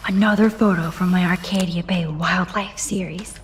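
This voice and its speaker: A young actress playing a shy female student photographer, murmuring quiet asides, some of them whispered. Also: fairly low pitch, fairly even intonation, normal speed, slight background noise, natural voice. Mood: musing. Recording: game audio heard through speakers.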